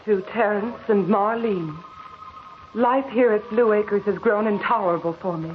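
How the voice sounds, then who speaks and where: A woman playing a tender, sorrowful mother reading out a farewell letter in a measured, formal way on old radio. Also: medium pitch, a little hiss or hum, natural voice. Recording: muffled old radio recording with music.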